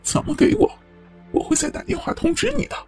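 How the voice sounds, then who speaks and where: A man's voice: very high-pitched, fairly quick, far from the mic, soft background music. A man playing a sly, mocking blackmailer who disguises his voice with a strained, squeezed delivery in an audio drama. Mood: greedy, smug.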